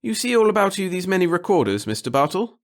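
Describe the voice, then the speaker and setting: A man's voice: sits high, big pitch swings, quick pace, pitched above the performer's own voice. A man voicing a servile, fussy little clerk who babbles in flowery, pompous phrases, as a character in a sci-fi audiobook. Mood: grandiose, proud.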